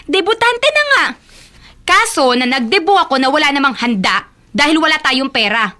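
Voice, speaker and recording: Radio drama brat, Filipino girl of eighteen: high, very animated, sarcastic, defiant. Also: moderate pace. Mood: indignant. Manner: bratty, sarcastic. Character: defiant, spoiled eighteen-year-old daughter.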